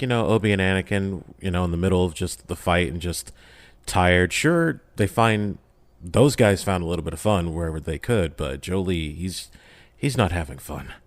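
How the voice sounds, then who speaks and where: A man's voice: sits low, no echo. A man speaking casually as a podcast guest, recapping a story in an informal, slangy way with dramatic emphasis.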